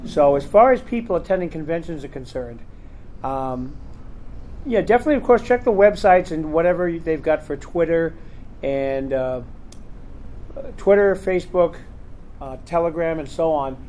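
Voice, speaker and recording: A rather high-voiced man talks casually in a convention panel room. He rambles loosely and leans on "um" and "you know" as he goes, like someone used to hosting these talks. There is a faint hum of room ambience behind him. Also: animated, moderate pace, a little mellow. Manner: rambling, chatty, full of fillers. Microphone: recorder in the room.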